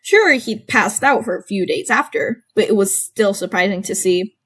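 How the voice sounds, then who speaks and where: A woman's voice: mid-range voice, animated, normal speed, a bit bright, slightly rough. A woman with a bubbly, informal manner, reading fan fiction aloud and chatting to her listeners as an amateur narrator. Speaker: podfic narrator and channel host. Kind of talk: amateur audiobook narration and casual chat to listeners.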